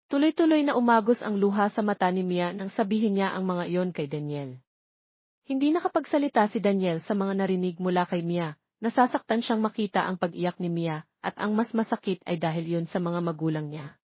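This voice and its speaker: A woman's voice: lowish voice, expressive, fairly quick, husky, dry recording. A woman narrating a scripted romance story as its storyteller. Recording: dry, slightly dull studio sound.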